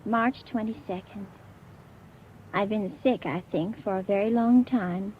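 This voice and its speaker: A woman playing a deranged, gloating murderous patient in a theatrical, halting 1940s radio style. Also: medium pitch, slight background noise, natural voice. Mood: brooding, secretive. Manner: theatrical, halting, lingering over words. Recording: muffled old radio recording, music underneath.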